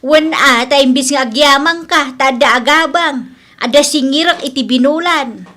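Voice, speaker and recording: A woman's voice: sits high, moderate pace, sharp tone. A woman playing a bossy, grumbling, money-minded older landlady in a radio comedy drama, scolding and nagging emphatically.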